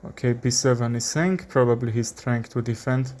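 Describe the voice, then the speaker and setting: A young man with an Armenian accent commentating his own chess game, thinking aloud with frequent fillers and pauses. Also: medium pitch, steady tone, a little mellow. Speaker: chess streamer. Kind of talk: live commentary while playing chess.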